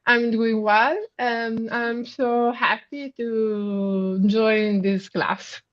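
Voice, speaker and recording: A woman's voice: on the high side, little pitch movement, extremely slow. A woman with an Italian accent, a student politely introducing herself over a dull online call. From Italy. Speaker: student in English class.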